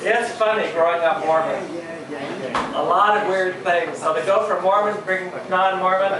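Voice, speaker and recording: A man's voice: high-pitched, steady tone, normal speed. A man performing a stand-up comedy set in a small bar, chatting with the audience and asking them questions. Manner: chatty crowd work, asks the audience. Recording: roomy bar, from far off, crowd. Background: audience laughing and chatting.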